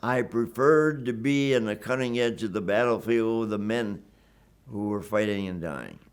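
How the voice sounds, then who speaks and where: A man's voice: lowish voice, normal speed, smooth. Earnest older man in his nineties. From Wisconsin, USA. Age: 90s. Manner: earnest, emphatic, repeats and restarts words. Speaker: WWII veteran interviewee. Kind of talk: reflective oral-history storytelling.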